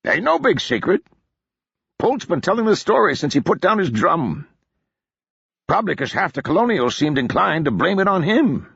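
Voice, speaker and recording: A man's voice: fairly high pitch, sing-song, moderate pace, gravelly, fairly bright tone, husky, no echo, highs cut off, pitched above the performer's own voice, full character voice. A man voicing a burly, scruffy army captain who talks bluntly and coarsely with a heavy mountain accent, in an audiobook. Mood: gruff mocking. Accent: heavy mountain accent (fictional).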